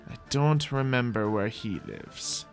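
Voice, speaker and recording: A man gives casual commentary over a video game, with music playing behind him. His voice sits at an average pitch. He rambles along to his viewers in light roleplay, often saying the same phrase over again. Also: very animated, unhurried, vocal fry. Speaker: host of a Minecraft roleplay video.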